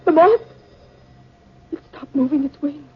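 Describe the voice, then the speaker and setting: An actress playing a frightened married woman in a tense, breathy 1950s radio drama performance. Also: sits high, expressive, measured pace, a little hiss or hum. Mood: rising panic. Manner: tense, breathy, hushed to panicked. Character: frightened married woman trapped by a monstrous moth. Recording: muffled, lo-fi 1950s radio recording.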